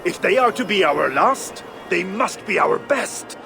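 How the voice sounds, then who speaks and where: Slow, genial Viking king. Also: high-pitched, lively intonation, vocal fry, exaggerated voice. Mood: genial, stately. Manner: stately, measured, amiable. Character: genial Danish Viking king, converted and at peace. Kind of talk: dramatic video game acting. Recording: music behind the voice.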